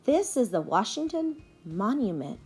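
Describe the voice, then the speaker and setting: A woman reading a storybook as a patient, knowledgeable aunt who explains things in a measured way. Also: a little high, very animated, dark tone, breathy edge, voice pushed up, full character voice. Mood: patient, explaining. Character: knowledgeable, patient adult aunt guiding her nieces.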